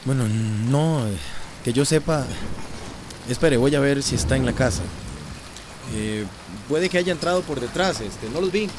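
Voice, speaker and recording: An actor playing an affable but suspicious, easily flustered bar owner in a Central American Spanish radio soap opera. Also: male voice, mid-range voice, a little slow, long pauses, lightly acted. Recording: radio drama with rainstorm noise.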